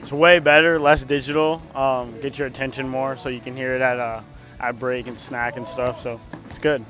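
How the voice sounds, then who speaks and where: A man's voice: medium pitch, little pitch movement, moderate pace, a bit bright. Casual teenage male student over music. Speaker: student interviewee. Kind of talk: casual vox pop answer.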